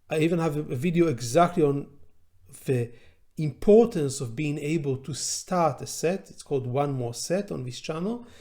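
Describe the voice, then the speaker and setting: A middle-aged man whose first language is Hebrew, a law professor speaking slowly and deliberately in a reflective educational monologue, pausing with filler sounds as he thinks. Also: fairly high pitch, dark tone.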